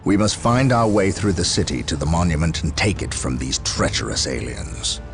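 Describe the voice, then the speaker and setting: A low-voiced, righteous space soldier from a video game, stern and commanding. His clipped speech rises and falls with forceful conviction. Dramatic music runs underneath. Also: sing-song, normal speed. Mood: urgent, commanding. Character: stern, righteous male armored warrior commander. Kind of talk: dramatic military game acting.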